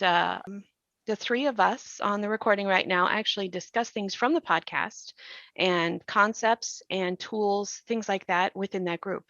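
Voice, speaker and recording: A woman's voice: medium pitch, fairly bright tone, no room sound. A woman speaks as a podcast host in a mid-range voice. She explains things thoughtfully in a reflective discussion style. The sound is very dry and slightly dull, with little room on it.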